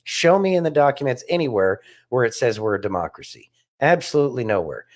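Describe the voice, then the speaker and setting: A man's voice: medium pitch, sing-song, brisk. A man co-hosting a casual political discussion, speaking emphatically and repeating phrases for stress. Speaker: podcast co-host.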